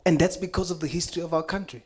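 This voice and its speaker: An earnest South African man, Afrikaans his first language, addresses a committee with conviction. His voice sits a little high for a man, and he leans on repeated phrases to drive points home. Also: steady tone, rapid-fire, husky. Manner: earnest, impassioned, emphatic repetitions. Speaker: member speaking in a committee hearing. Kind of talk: formal spoken address.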